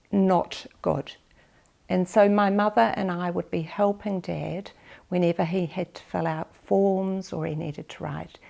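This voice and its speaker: A New Zealand woman in her fifties or sixties, recounting her family memories for a documentary interview in a measured, reflective way with pauses. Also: fairly low pitch, vocal fry, a little mellow. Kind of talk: personal family memoir.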